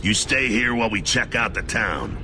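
An actor playing a gruff, battle-hardened male squad leader in a video game, terse and commanding with a gravelly voice. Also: on the low side, expressive, moderate pace, heavy background noise, natural voice. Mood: stern, businesslike. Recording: loud music and effects behind.

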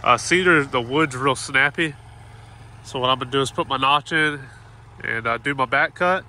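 A man's voice: mid-range voice, moderate pace, sharp tone. A man in casual, practical talk, explaining each step of a tree removal as he films on a farm. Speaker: tree service worker filming his job. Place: outdoors on a farm. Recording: outdoor noise, chainsaw and tractor.

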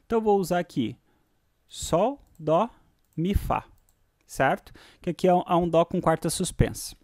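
High-voiced Brazilian man giving a tutorial. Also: lively intonation, moderate pace, a little mellow, dry recording, studio quality. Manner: informal, frequent tag questions. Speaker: music production tutorial host. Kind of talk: casual screencast tutorial, thinking aloud. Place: indoors, voice-over on a screen recording.

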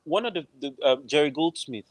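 A man's voice: a little high, lively intonation, brisk, dry recording. A man with a Nigerian accent, an interview guest talking casually on a video call, often searching for words and repeating phrases. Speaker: interview guest, film composer. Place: room at home, on a video call. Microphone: wired earphones on a video call.

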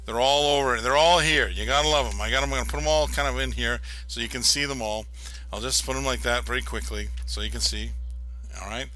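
Man, auction host, hyped sales patter, very bright tone. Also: medium pitch, fast talker, low-quality recording. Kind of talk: live sales pitch.

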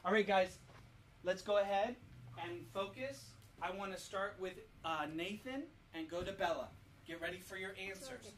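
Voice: mid-range voice, moderate pace, fairly bright tone. A parent leads a family Bible lesson in an instructive, quizzing manner, often repeating answers back. The voice is picked up from a little distance, with faint noise behind it. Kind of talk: teaching children with questions. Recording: distant mic with light background noise.

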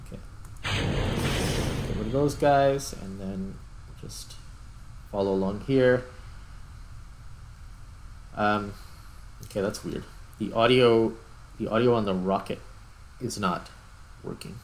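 A man's voice: lowish voice, slow pace, pauses often, a little hiss or hum, poor audio. A man giving casual gameplay commentary as he hosts. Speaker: host, gamer. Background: background music.

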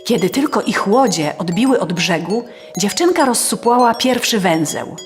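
A woman's voice: mid-range voice, very animated, normal speed. A woman narrating a scripted fairy tale as a storyteller. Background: faint music.

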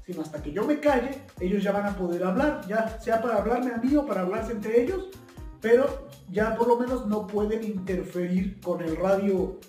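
Young Mexican male tutorial host, high-pitched voice, informal explaining style, recorded from a distance in a reverberant room. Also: normal speed, dark tone, soft background music. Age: young adult. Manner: friendly direct address to viewers. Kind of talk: informal instructional explanation with practical examples. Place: small bare indoor room at a table. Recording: echoey bare room, distant microphone.

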